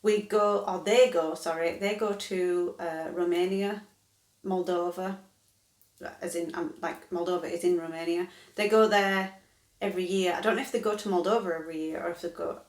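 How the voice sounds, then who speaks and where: A woman in her thirties talks to camera in a loose, rambling way and keeps stopping to correct herself. Her voice sits fairly low for a woman. The sound carries a noticeable room echo. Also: fairly even intonation, normal speed. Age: 30s. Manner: rambling, chatty, self-correcting. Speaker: vlogger. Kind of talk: casual chat to camera. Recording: echoey room.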